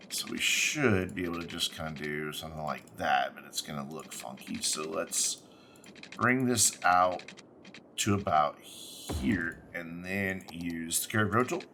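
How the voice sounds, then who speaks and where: A man with stuffy, congested sinuses talks through his gameplay in a slow, musing way, often restarting his thoughts. His voice sits low yet carries a bright, forward edge. A faint hiss of noise sits behind him. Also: gravelly. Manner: unhurried, musing, self-correcting. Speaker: gaming channel host. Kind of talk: let's play commentary, thinking aloud while building.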